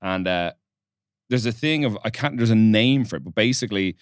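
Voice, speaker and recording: A young man hosting a podcast, talking quickly in a low voice with plenty of swearing. The sound is dry and close, with no room around it. His delivery is blunt and casual. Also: animated, clear, resonant tone, dry recording. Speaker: podcast host.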